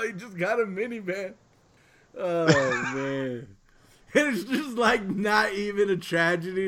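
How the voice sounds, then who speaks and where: A man's voice: high-pitched, a bit bright. A man chatting casually as a podcast co-host, telling jokey, rambling stories. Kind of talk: casual chat.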